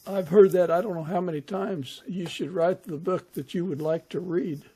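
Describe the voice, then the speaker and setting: A man's voice: high voice, steady tone, moderate pace, dark tone, slightly rough. An older man hosting a podcast, chatting casually as he interviews a guest. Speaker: podcast host.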